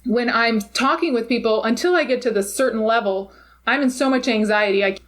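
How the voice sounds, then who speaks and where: A woman's voice: fairly high pitch, brisk. A middle-aged woman interviewing a guest in a reflective, conversational way, laughing often as she talks.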